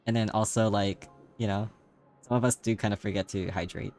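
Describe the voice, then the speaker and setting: A man streaming live, chatting casually with a slightly raspy, tired voice and rambling, filler-heavy talk. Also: lowish voice, fairly even intonation, brisk, darkish tone, soft background music. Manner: rambling, many fillers, laughs often.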